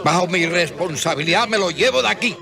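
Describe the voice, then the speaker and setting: A man's voice: high-pitched, animated, brisk, creaky, over music, voice pushed up. A man doing a solemn, commanding preacher. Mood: firm. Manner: firm, solemn. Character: Juan de Ávila, authoritative preacher.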